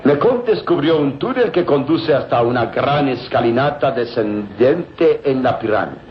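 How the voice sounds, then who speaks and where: A man's voice: mid-range voice, animated, unhurried, a little hiss or hum, lightly acted. Man acting scheming villain on muffled old radio. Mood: smooth, feigned goodwill. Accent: Mexican. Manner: menacing, calculating. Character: scheming, cold male villain plotting deadly traps. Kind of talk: vintage Mexican radio serial acting.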